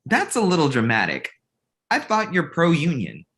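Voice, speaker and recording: A man's voice: a little high, wildly swooping pitch, moderate pace, fairly bright tone, full character voice. A man playing an earnest, exasperated male manager in a comedy table read, urgent and conversational. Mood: skeptical.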